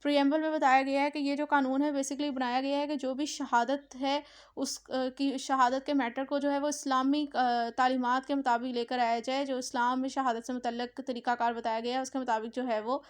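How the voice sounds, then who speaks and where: A woman with a Pakistani accent speaks in a high voice that stays within a very narrow range. She lectures like a law teacher, reading and explaining provisions, with English terms mixed into her sentences. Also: level tone, normal speed, smooth. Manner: mixes Urdu with English legal terms, repeats explanations.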